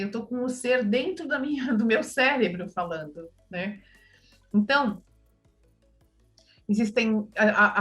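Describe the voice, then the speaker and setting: A woman with a Brazilian Portuguese accent explaining her points on a panel in a measured way. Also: mid-range voice, a bit bright. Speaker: language school speaker. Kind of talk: panel talk.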